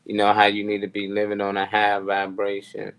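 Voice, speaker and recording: A man's voice: on the low side, completely flat delivery, fairly quick, fairly bright tone, a little hiss or hum, rough recording. A man chatting casually on a talk show, giving off-the-cuff motivational advice in colloquial, sometimes profane language. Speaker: show panelist.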